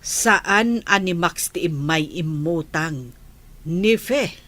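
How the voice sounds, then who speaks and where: A woman's voice: lowish voice, lively intonation, slow pace, a bit bright, exaggerated voice. A woman playing an insistent village auntie who lends money, in a radio drama. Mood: nosy, insistent. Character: village auntie, money lender chasing a debt.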